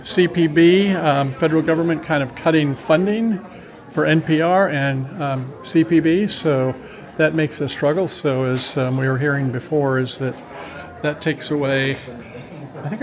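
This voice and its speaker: A man's voice: fairly high pitch, normal speed. A man with an American accent giving casual, rambling, unscripted commentary as a community TV reporter. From Minnesota, United States. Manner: chatty, rambling. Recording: busy crowd chatter behind. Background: crowd at an open house event.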